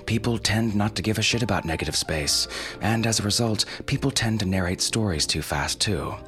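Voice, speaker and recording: Male producer-host, deep register, scripted explainer with dry asides, deliberate emphasis, light music underneath. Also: a bit bright. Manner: wry, informal, mildly profane. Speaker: podcast host and audio fiction producer. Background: faint music.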